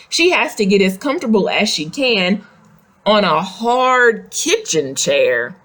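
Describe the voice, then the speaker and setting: A woman reads a picture book aloud to young children at an unhurried pace. Her pitch moves up and down in a lively, expressive way, and she addresses the listeners directly in an explaining manner. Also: husky. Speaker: children's story reader.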